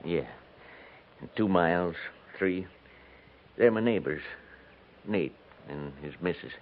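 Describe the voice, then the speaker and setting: An actor playing a stubborn, weary frontier homesteader in a 1950s radio western, with terse, folksy rustic speech. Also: male voice, deep voice, takes it slowly. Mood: stubborn, resigned. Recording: noisy, tinny 1950s radio recording.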